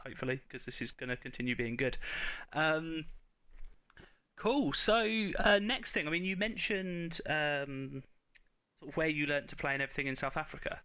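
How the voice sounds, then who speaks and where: Guy hosting a podcast, chatty and informal, bright voice a bit on the high side. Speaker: podcast host, interviewer. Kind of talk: casual conversational interview.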